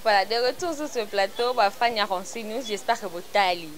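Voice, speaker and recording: A woman's voice: medium pitch, fairly quick, heavy background noise. A woman from Congo, hosting an online celebrity news show in a chatty, casual commentary style. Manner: chatty, mixing French and Lingala freely.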